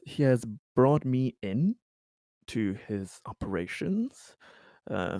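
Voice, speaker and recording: A man playing a guarded, diplomatic corporate colony administrator in a roleplaying game, speaking haltingly and deliberately. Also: fairly low pitch, dark tone, no echo, clean studio sound. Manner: halting, deliberate, hedging with pauses. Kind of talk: improvised in-character roleplay dialogue.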